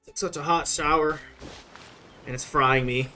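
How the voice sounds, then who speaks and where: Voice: mid-range voice, steady tone, normal speed, bright, forceful tone, low-quality recording. A young adult streamer giving casual gameplay commentary, rambling in a slangy, profane way. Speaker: game streamer. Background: game music.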